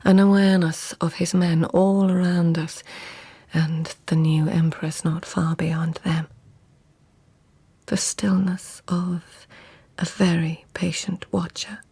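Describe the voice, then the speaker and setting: Radio drama lead: a guarded, passionate imperial lady-in-waiting; lowish, creaky voice, hushed and confiding, slipping into whispered asides. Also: little pitch movement, slow, heavily acted. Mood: watchful, musing. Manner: intimate, hushed, often breaking into whispered inner narration. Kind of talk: literary radio drama acting.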